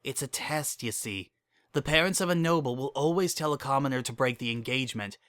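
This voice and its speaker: Patient cartoon unicorn mare, played by a man: slightly lifted, bright voice, affectionate and reassuring, dry sound. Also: medium pitch, a little fast, no room sound, natural voice. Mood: tender, reassuring. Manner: explanatory, reassuring.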